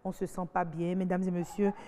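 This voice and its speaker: Beninese female TV host, mellow mid voice, brisk, reverberant studio. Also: fairly low pitch, level tone. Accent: Beninese French. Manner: brisk, affirming interjections. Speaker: TV talk show host. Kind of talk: hosting a TV magazine show.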